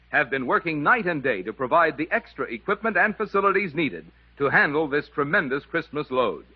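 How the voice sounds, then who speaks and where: A man's voice: fairly high pitch, husky, dull audio. A man reading a scripted announcement in the style of a 1950s radio announcer, on an old radio recording.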